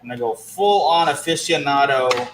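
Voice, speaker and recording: A man's voice: medium pitch, moderate pace, slight background noise. A man co-hosting a cigar livestream, easygoing and talking straight to viewers. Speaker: cigar show co-host. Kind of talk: casual livestream chat. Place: cigar shop lounge. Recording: roomy shop with faint ambience.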